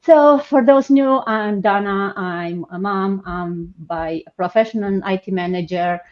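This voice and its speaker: A woman from Romania hosts a live online session over a video call, talking loosely and filling pauses as she goes. The stream audio is dull and lo-fi. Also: medium pitch. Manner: chatty, hesitant, many fillers. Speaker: host. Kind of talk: live online panel hosting.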